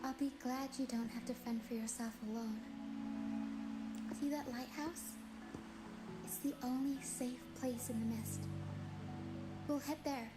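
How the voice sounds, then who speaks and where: A woman's voice: sits high, steady tone, a little slow, long pauses, low-quality recording. A woman playing a friendly, caring young female performer in a video game, earnest and storyteller-like with playful flourishes. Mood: resolute, caring. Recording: music behind the voice.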